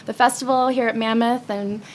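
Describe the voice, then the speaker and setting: A woman's voice: fairly high pitch, fairly even intonation, fairly quick. A woman hosting a roundtable in a casual, effusive and informal way. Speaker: panel host. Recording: faint crowd murmur and background noise.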